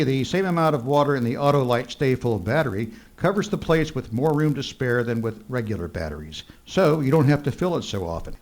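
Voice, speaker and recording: Man as radio ad pitchman, friendly, folksy, conversational selling, medium pitch, old-time style. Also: darkish tone, lightly acted. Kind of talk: 1940s radio advertisement.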